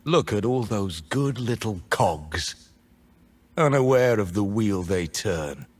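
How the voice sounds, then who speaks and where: Defiant rebel mage, video game character, husky, slow deliberate delivery, theatrical, bitter and rousing. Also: male voice, fairly low pitch, lively intonation, a little mellow. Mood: menacing.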